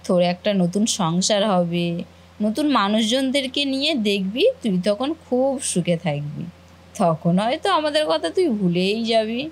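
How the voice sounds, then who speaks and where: A woman's voice: medium pitch, sing-song, moderate pace, slightly rough. A woman voicing a caring, fussing sister-in-law and housewife in a family cartoon. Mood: tender. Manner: affectionate, fussing, motherly. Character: caring, doting elder sister-in-law, housewife, gently scolding. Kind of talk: Bengali family cartoon voice acting.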